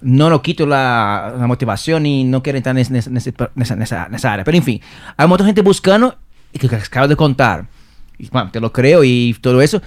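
A man whose first language is not Spanish, co-hosting a podcast and reacting excitedly, with stammered restarts and casual swearing. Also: medium pitch, fast talker, dry recording. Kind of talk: casual chat.

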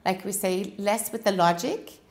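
A woman who was born in Iran and raised in the United States, a dentist and clinic owner, giving calm and earnest interview answers. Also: mid-range voice, moderate pace. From Iran, raised in Washington state.

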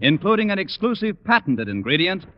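An American male announcer with a high voice reads formal, emphatic vintage radio copy. The sound is muffled and carries long reverb. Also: sing-song, brisk.

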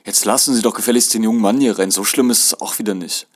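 An older gentleman passenger in a narrated story, acted by a man. He is indignant and reproves firmly. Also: lowish voice, quick pace, lightly acted. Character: indignant older male bus passenger standing up for someone.

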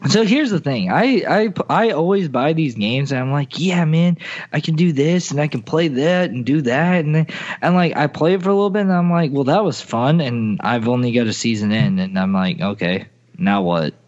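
A man's voice: fairly high pitch, lively intonation, moderate pace, darkish tone. Rambling male co-host, muffled sound. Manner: rambling, self-mocking, full of restarts. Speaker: podcast co-host. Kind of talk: casual gaming podcast chat.

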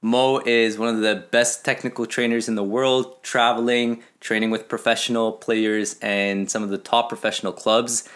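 A man's voice: fairly low pitch, little pitch movement, normal speed, hardly any pauses, a bit bright. A young adult man, a student and footballer, hosting a podcast, reading an upbeat intro and then asking friendly questions. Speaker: podcast host.

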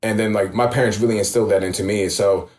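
Laid-back low-voiced man from New York. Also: quick pace, reverberant. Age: 30s. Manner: relaxed, filler-heavy, reflective. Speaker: guest. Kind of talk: casual interview talk. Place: home room.

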